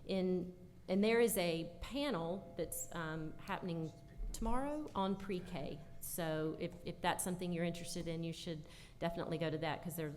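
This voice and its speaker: Woman, slightly low pitch, panel answer, emphatic, faint background noise. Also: moderate pace, darkish tone. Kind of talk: panel answer, explanatory talk. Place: conference session room with hall reverb. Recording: echoey hall with background noise.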